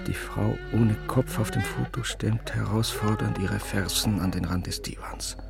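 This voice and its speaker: An actor playing a brooding, bitter newspaper editor in a radio play, speaking in halting, hushed phrases with sudden emphasis. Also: male voice, on the low side, fairly even intonation, vocal fry, over loud music. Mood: bitter contemplation. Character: brooding, bitter middle-aged male newspaper editor, tormented and curt. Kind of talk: literary German radio play, interior monologue.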